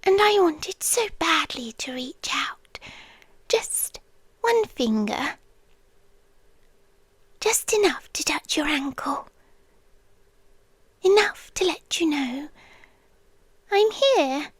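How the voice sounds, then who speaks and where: A British woman playing an obsessive, creepily devoted yandere girlfriend in an intimate ASMR roleplay, breathy and often whispering, lingering on short phrases. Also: sits high, expressive, slow pace, pauses often, dry recording. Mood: teasing menace. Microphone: close microphone, dry intimate recording.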